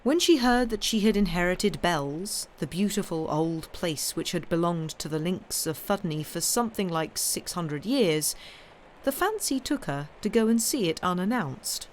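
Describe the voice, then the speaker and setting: A woman with a slightly croaky voice who hosts a live stream and reads ghost stories aloud. She chats in a rambling way and corrects herself before she settles into the reading. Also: fairly low pitch, expressive, normal speed, a little hiss or hum. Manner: rambling, stumbling, self-correcting chatter. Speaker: storyteller and live-stream host. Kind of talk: casual chat then reading a ghost story aloud.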